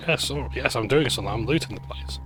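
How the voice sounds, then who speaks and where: A man's voice: medium pitch, fast talker, over music. A man commentating on a video game in a laid-back, joking way, sounding throaty and dehydrated. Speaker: gaming video host. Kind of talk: let's play commentary.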